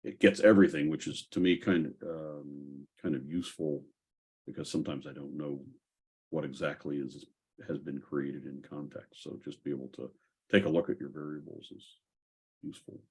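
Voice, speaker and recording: A man with a very deep, somewhat rough and husky voice hosts a casual software call. He speaks haltingly, thinking aloud as he answers questions. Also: fairly even intonation. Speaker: host of a software community call. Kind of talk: casual Q&A and tech discussion.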